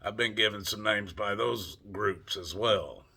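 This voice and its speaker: Older Southern US man, vlogger; low, husky, slow, folksy and salty delivery with a tense, bright edge. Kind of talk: casual monologue to camera.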